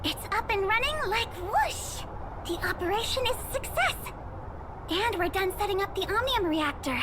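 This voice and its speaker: A woman voicing a young female researcher in an anime-style game, excitable and easily flustered, speaking over music. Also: extremely high pitch. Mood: excited, polite.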